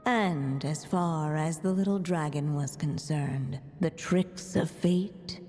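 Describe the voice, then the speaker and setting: A woman narrating a fairy tale in a video game, in a solemn, gentle, measured storybook manner. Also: deep voice. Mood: solemn, storytelling. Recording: long reverb with music behind.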